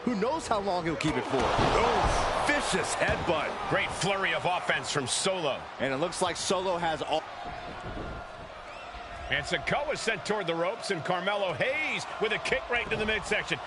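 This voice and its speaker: A man calling wrestling as a commentator, with excited play-by-play delivery. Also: high-pitched, normal speed. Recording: very noisy game broadcast audio.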